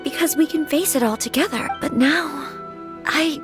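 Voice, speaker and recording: A high-voiced, gentle young noblewoman from a video game, played by a woman. She is earnest and formal, hesitating politely as she talks. Music plays clearly behind her. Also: normal speed, lightly acted. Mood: troubled, hesitant. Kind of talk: video game character acting.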